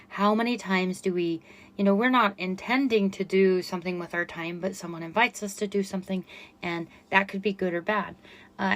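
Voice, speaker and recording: A middle-aged woman with a quiet voice hosting a solo podcast, chatty and self-effacing with frequent fillers. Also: mid-range voice. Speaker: podcast host and running coach. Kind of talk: casual solo podcast monologue.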